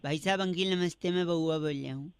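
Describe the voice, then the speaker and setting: A man's voice: on the high side, fairly quick, clean tone, no room sound, close to an everyday voice. A man putting on a cheeky, preachy young prank caller, pestering with mock earnestness. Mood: mock-earnest, nagging. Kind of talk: comic radio prank call.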